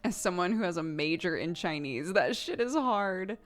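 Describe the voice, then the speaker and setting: A woman in her thirties chats casually as she plays a video game, her voice sitting a little low. She rambles from one thought to the next and breaks into laughter often. Soft game music plays faintly under her desk-mic voice. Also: expressive, normal speed. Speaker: streamer. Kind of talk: casual chat while playing a video game.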